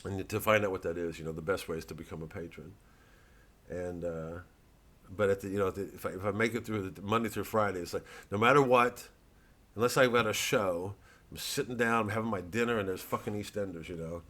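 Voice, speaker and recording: A man hosting a casual comedy monologue, digressing often, swearing freely and talking in halting, restarted sentences. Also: low-pitched, a bit bright. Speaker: comedian, host.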